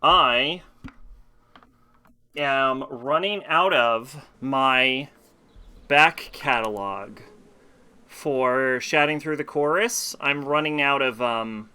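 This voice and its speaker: A man's voice: medium pitch, very slow, long pauses, pushed and bright, clear, resonant tone. A man streaming live, chatting casually and ramblingly with his viewers. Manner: chatty, rambling, talks to his chat and answers questions.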